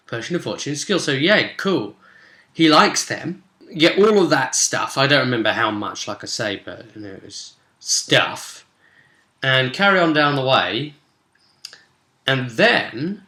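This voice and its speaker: A man chatting informally as a game master recapping a tabletop session, thinking aloud in a halting way with asides. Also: medium pitch, bright, forceful tone. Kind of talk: informal solo recap, storytelling. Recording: roomy, echoing sound.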